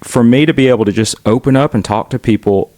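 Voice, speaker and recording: A man's voice: mid-range voice, fast talker, a little mellow, no room sound. A middle-aged man with a Southern US accent, telling stories in an outgoing, chatty way as a podcast guest. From United States. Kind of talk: casual conversational storytelling.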